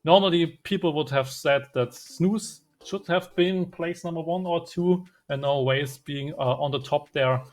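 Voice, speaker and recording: Male co-caster, fairly high voice, casual analysis, lots of uhs. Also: measured pace, few pauses. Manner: conversational, filler-heavy with frequent uhs. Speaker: esports co-caster.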